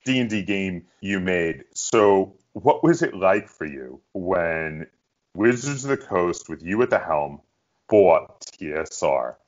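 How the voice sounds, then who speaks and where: Low-voiced middle-aged man interviewing, slightly muffled. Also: animated, slow pace, darkish tone. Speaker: interviewer, podcast host. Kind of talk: casual fan interview. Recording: dull lo-fi sound.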